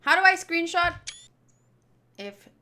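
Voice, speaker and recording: A woman hosting a visual novel playthrough, reading the narration aloud and adding chatty, expressive asides. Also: extremely high pitch, slow pace. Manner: chatty, expressive, reacting fondly to the game. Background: background music.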